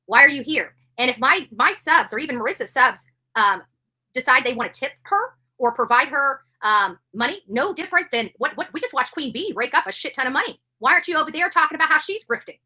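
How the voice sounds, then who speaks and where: A woman's voice: sits high, lively intonation, fairly quick, pushed and bright. A middle-aged woman hosting a livestream, giving indignant commentary in an emphatic way, repeating phrases for stress. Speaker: livestream host. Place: bedroom, indoors.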